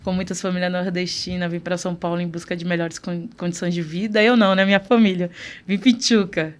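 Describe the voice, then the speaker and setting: A woman in her thirties from Recife speaks as a podcast guest. Her voice sits fairly low, and she tells her story in a lively, warm way, leaning hard on key words. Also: a little fast. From Recife, Pernambuco, Brazil. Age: 30s. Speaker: guest, city councillor. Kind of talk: casual interview.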